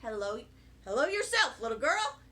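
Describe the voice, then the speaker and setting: A woman's voice: fairly high pitch, sing-song, moderate pace, pushed and bright. A woman voicing a hearty, teasing, kindly old grandfather in a children's storybook, emphatic and coaxing. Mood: jovial. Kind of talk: children's storybook read-aloud.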